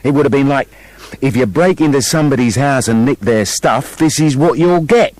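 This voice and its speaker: A man's voice: medium pitch, moderate pace, a little mellow, no echo, natural voice. An actor playing a sardonic, put-upon male wolf in a comic radio play, with a dry, argumentative, incredulous manner.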